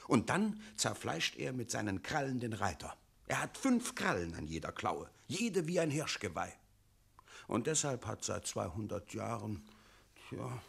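Complacent middle-aged burgher, lowish creaky voice, husky, placid, explanatory, radio drama. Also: male voice, sing-song, measured pace, full character voice. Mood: matter-of-fact admiring. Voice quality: gravelly, husky. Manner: placid, explanatory, self-satisfied. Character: resigned, complacent townsman father defending the town's tyrant. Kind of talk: theatrical radio play acting.

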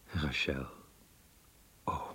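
An actor playing a resigned, yearning schoolteacher with a husky voice, reflecting wistfully in a radio play diary. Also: male voice, extremely slow, bright, forceful tone. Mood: longing. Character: resigned, brooding male schoolteacher, yearning idealist.

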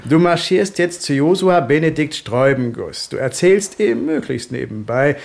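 An actor playing a middle-aged gangster boss in a radio crime satire, bossy, sardonic and scheming. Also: male voice, on the high side, expressive, moderate pace, gravelly. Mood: smug scheming. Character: scheming, impatient gangster boss, smug and commanding. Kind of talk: German radio play acting, crime satire.

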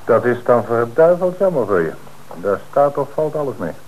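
An actor playing a stern, sceptical police inspector, questioning methodically and insistently in a Dutch radio drama. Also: male voice, medium pitch, sing-song, normal speed, phone-quality sound.

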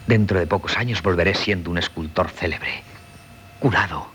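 An actor playing a dreamy, earnest young sculptor in a Castilian Spanish radio drama, halting and repeating himself as he muses. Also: low-pitched, a bit bright. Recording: noisy 1970s radio broadcast.

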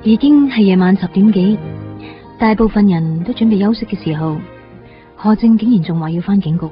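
Actress as gentle young woman, muffled radio drama. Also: mid-range voice, fairly quick, natural voice. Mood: reflective, pitying. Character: gentle, caring young woman fond of a detective. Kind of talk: Cantonese radio drama acting and narration. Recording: dull band-limited radio broadcast, music behind.

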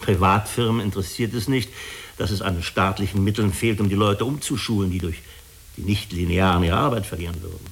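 A man playing a diplomatic, persuasive agency official in a German radio drama, speaking formally and deliberately with small hesitant pauses. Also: deep voice. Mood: probing, smooth. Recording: clearly hissy radio recording.

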